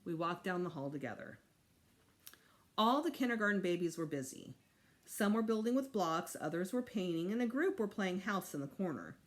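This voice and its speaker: Female teacher, expressive children's read-aloud, very varied intonation. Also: lowish voice, moderate pace. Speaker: teacher reading aloud to students.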